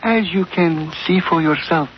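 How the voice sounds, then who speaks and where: A man's voice: a little high, a little slow, lightly acted. An actor playing a haughty, commanding male villain in a 1940s radio adventure, formal, precise and coolly polite. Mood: smoothly defiant. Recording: dull, faintly noisy vintage radio recording.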